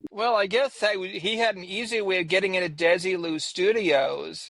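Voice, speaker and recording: A man's voice: sits high, steady tone, normal speed, vocal fry, fairly bright tone, dry recording, low-quality recording. A man on a podcast panel, answering trivia and teasing dryly. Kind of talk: casual chat.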